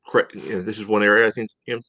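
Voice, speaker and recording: A man with a fairly low voice co-hosts a casual sports podcast. He breaks things down in a measured, hedging way, leaning often on "you know". The sound is dry, with little room in it. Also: fairly even intonation, bright, forceful tone, no room sound, lo-fi sound. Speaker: podcast co-host. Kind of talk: casual sports analysis chat.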